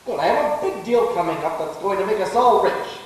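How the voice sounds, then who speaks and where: A man playing a brash, hearty young wheeler-dealer in a live radio play, loud and backslapping in manner. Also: sits high, sing-song, a little fast. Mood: brash, confident. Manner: boisterous, backslapping. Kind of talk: live 1940s-style radio play acting. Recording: echoey hall, distant, faint hiss.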